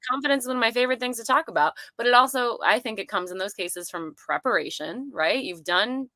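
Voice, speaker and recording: A woman's voice: on the high side, fast talker, pushed and bright, no room sound. A woman hosting a conversational interview podcast, encouraging and responsive in the way she talks. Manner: encouraging, reactive. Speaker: podcast host.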